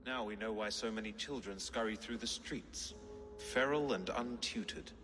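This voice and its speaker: An actor playing a wry, diplomatic Saxon companion in a video game, tactful with a dry teasing manner. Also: male voice, fairly low pitch, moderate pace, a bit bright, big-room sound, faint music behind, natural voice. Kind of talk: video game cutscene acting.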